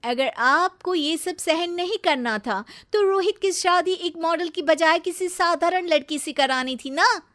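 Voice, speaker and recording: A woman voicing a spoiled, haughty young model in an animated family drama, petulant and snappy, mixing in English words. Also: extremely high pitch, a little slow, few pauses. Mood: dismissive, irritated. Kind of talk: melodramatic animated story voice acting.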